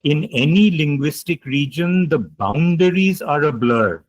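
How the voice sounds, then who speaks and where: A man's voice: on the high side, lively intonation, a little slow, no echo. A man giving an online lecture, explaining points emphatically, repeating key ideas and taking questions. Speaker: guest lecturer.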